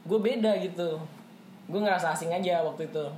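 A young man from Papua with a Jakarta accent, chatting as a podcast guest in a relaxed, slangy, storytelling way. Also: high-pitched, brisk, a little hiss or hum. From Papua, Indonesia. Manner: relaxed, slangy, animated retelling. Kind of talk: casual storytelling chat. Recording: echoey room, faint music.

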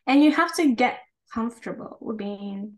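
Young woman co-host, candid and chatty, bit high-pitched, laughs easily. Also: animated, normal speed. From Ghana and the United States. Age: 20s. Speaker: podcast co-host. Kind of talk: casual chat.

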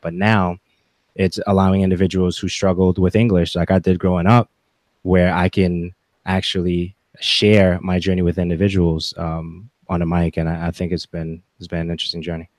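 A man in his forties talking reflectively as a podcast guest, with halting, deliberate phrasing. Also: low voice, a little mellow, no echo, clean studio sound. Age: 40s. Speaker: podcast guest, gaming content creator. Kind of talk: reflective interview talk.

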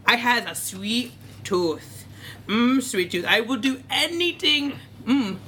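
A woman's voice: a little high, lively intonation, slow, pushed and bright, a little hiss or hum, exaggerated voice. A woman voicing a chatty Indian-American woman from New Jersey, speaking in an Indian accent with broken-English phrasing. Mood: giddy. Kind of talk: improv character interview.

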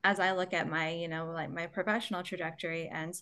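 A woman's voice: lowish voice, flat delivery, a little fast, fairly bright tone. A young adult woman with an American accent, talking reflectively as a guest, articulate but peppered with casual filler phrases. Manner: articulate, with frequent "like" and "you know". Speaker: podcast guest. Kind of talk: reflective conversational talk.

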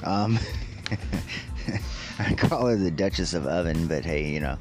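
A man hosting a casual solo podcast about news stories, with a slightly raspy voice and a halting, amused way of talking. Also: low voice, soft background music. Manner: halting, pausing mid-sentence; amused, chuckling as he talks. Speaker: podcast host.

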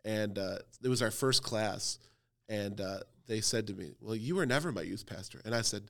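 A man's voice: lowish voice, normal speed, creaky. A man in his thirties from Cleveland, a youth pastor talking casually on a podcast with lots of fillers and self-deprecating humor. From Cleveland, Ohio.

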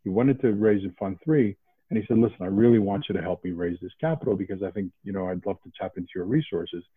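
A man's voice: low-pitched, fast, husky, dry recording. Man talking over a tinny phone line. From New England, outside Boston. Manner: animated, many restarts and repeated words. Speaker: podcast guest, investment banker. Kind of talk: casual interview talk.

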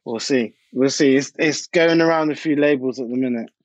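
A man's voice: mid-range voice, brisk, no room sound, lo-fi sound. A British man, a DJ and producer, chatting informally and anecdotally as an interview guest. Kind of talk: casual interview chat.

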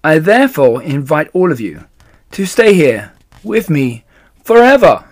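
Guy doing a confident fox leader in a kids story, raised lively voice, talks the crowd round. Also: on the high side, unhurried. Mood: persuasive. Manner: persuasive speechmaking. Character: confident, charismatic male fox, leader of the animals.

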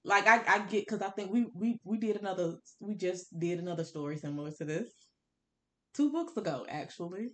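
A woman's voice: lowish voice, expressive, fairly quick. A woman co-hosting a podcast, casually discussing books in a candid, opinionated way with blunt, colloquial phrasing. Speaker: podcast co-host.

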